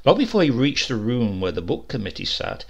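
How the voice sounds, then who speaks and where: A man's voice: mid-range voice, fairly quick, gravelly. A man narrating a comic short story in a dry, wry storytelling manner. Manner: dry, wry storytelling with lively voiced dialogue.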